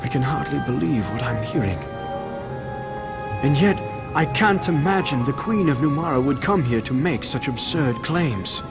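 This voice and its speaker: An actor playing a proud, excitable, wary king in a fantasy game cutscene, speaking formally and emphatically. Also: mid-range voice, moderate pace, close to an everyday voice. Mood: protesting, incredulous. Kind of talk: scripted video game cutscene acting. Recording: loud music behind the voice.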